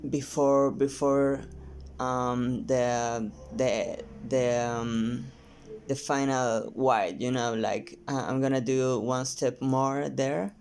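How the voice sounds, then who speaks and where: A painter from Mexico with a Mexican Spanish accent, his first language Spanish, talking casually and haltingly to camera with many restarts. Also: mid-range voice, steady tone, a little mellow, clear, resonant tone. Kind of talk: casual vlog talk while painting.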